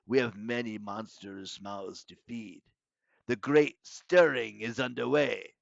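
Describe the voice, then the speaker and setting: Male reader as monster schoolmaster villain, pompous, sneering condescension, deliberate menace, storybook style, dry slightly dull recording. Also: lowish voice, steady tone, gravelly, dry recording. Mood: gloating menace.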